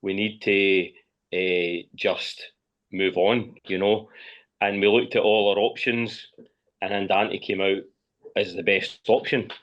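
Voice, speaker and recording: A Scottish man with a lowish voice chats as a podcast guest, the audio a little dull. His answers come out hesitant and matter-of-fact, in an informal conversational style. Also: flat delivery, takes it slowly, fairly bright tone. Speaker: podcast guest, pipe band lead drummer. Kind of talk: casual interview chat. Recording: slightly dull, muffled audio.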